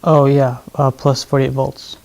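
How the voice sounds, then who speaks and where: Mellow, unhurried man testing his mic. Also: medium pitch, vocal fry. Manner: relaxed, thinks aloud, says "right" often. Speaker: streamer setting up his gear. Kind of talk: casual chat while sound-checking.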